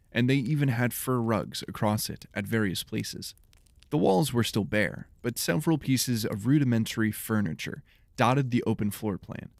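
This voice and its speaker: A man narrating scripted fiction as an audiobook, in a measured storytelling manner. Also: mid-range voice, creaky, dry recording.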